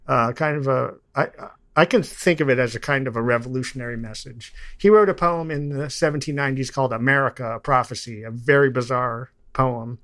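A man speaking as a podcast guest about poetry, with a halting, digressive delivery. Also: medium pitch, a bit bright, no echo. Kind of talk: reciting poetry, then informal explanatory discussion.

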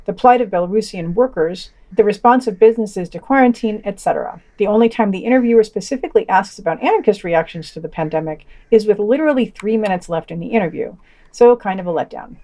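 A woman's voice: medium pitch, fast talker, warm tone. A woman presenting a podcast, reading scripted reviews with wry, informal, opinionated asides.

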